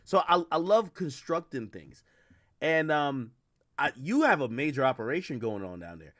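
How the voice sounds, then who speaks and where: Man, livestream host, from Jamaica, bright forceful voice, dry close sound, rambling slangy chat, stammering repeats. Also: fairly high pitch, sing-song, normal speed, dry recording. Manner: rambling, repetitive, frequently stammering mid-thought. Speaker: livestream host interviewing guests by call. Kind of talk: casual hip-hop stream chat with slang.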